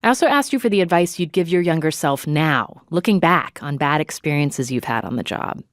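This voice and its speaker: A woman's voice: low voice, lively intonation, a little fast, dry recording, studio quality. A woman hosting a podcast, narrating a script in a direct, conversational way. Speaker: podcast host.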